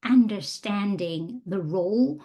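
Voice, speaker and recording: A woman in her thirties hosts a podcast with a mellow, darker tone. She introduces her guest from a script, then asks questions in a measured, encouraging way. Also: mid-range voice. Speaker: podcast host.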